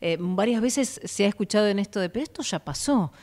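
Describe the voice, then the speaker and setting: A woman's voice: medium pitch, lively intonation, fairly quick, darkish tone. A woman in her thirties from Argentina with a Rioplatense accent, hosting a live radio interview in a small studio, friendly, with many short affirmations. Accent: Rioplatense Spanish. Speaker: radio host. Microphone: studio mic on a boom arm.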